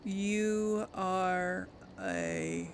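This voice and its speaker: A woman's voice: lowish voice, huge pitch swings, very slow, a little hiss or hum. A woman doing casual let's-play commentary, musing aloud and replying in role to game characters. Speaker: gaming host role-playing through a game.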